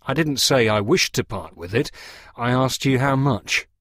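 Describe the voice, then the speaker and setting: Guy doing a blunt impatient country haggler for a storybook, really animated, dry sound. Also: medium pitch, measured pace, dry recording. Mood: indignant. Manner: blunt, insistent. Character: gruff, impatient, hard-bargaining countryman. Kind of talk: storybook character dialogue.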